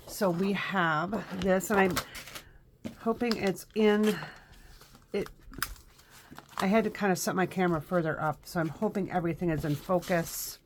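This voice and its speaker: A woman's voice: medium pitch, a little hiss or hum. Chatty woman teaching a card craft. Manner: chatty, many ums and uhs, step-by-step instructions. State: sniffly from allergies. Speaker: craft instructor. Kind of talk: live craft tutorial. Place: home craft room, indoors.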